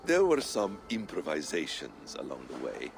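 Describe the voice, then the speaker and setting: An actor playing a calm, calculating ancient man in a video game, courteous but superior, with measured formal speech. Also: very deep voice, creaky, over music, heavily acted. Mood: cool, wry. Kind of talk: dramatic video game acting.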